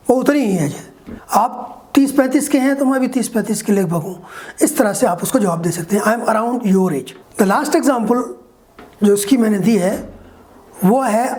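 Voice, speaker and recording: A woman teaching in a video lesson, explaining step by step and reading example sentences slowly. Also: fairly high pitch, darkish tone. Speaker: English teacher.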